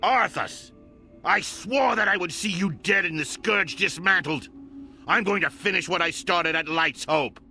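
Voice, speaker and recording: Gravelly, forceful righteous paladin commander. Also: male voice, high-pitched, measured pace, faint music behind, heavily acted. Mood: defiant. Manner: declamatory, solemn. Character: righteous veteran paladin commander, stern and fervent. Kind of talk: heroic fantasy video game acting.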